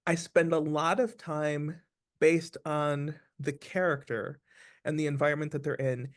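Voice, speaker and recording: A man with a somewhat higher-pitched voice talks as an actor in an interview. He explains in a conversational style, thoughtfully and deliberately, laying things out point by point. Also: little pitch movement.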